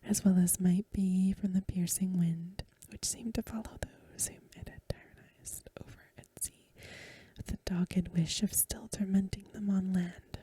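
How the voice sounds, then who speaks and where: A woman reads a story in a hushed ASMR style. Her voice is whispery and very airy, with a mellow, dark tone. The phrasing is gentle and measured, and the sound is dry and close. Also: lowish voice, dry recording. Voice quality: breathy, whispery soft voice. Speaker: ASMR reader. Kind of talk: soft-spoken ASMR storybook reading.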